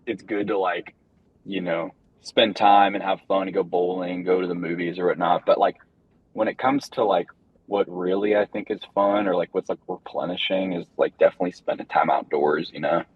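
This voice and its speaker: A man's voice: deep voice, steady tone, normal speed, breathy edge, no echo. A young man, a band member, chatting casually in an interview, rambling and laid-back with lots of fillers. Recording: dull lo-fi recording.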